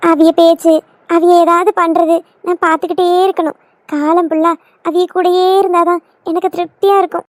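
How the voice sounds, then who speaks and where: A woman's voice: extremely high pitch, little pitch movement, a little fast, music in the background. A woman playing an affectionate young daughter-in-law in a family skit, gushing and emotional, with a southern Tamil Nadu accent. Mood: devoted, gushing.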